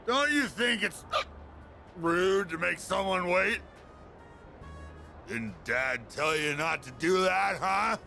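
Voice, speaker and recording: An actor playing a gruff, lonely, middle-aged drunken ex-businessman in a video game, with a blustering, slurred drawl. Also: sits high, expressive, slow, long pauses, sharp tone, soft background music, full character voice. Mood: irritated, drunken bluster. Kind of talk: English video game voice acting.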